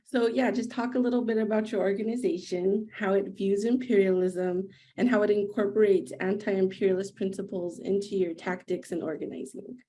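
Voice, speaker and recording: A woman's voice: medium pitch, steady tone, normal speed, few pauses, dark tone, a little hiss or hum, poor audio. A woman moderating a panel, polite and accommodating as she hands over to speakers.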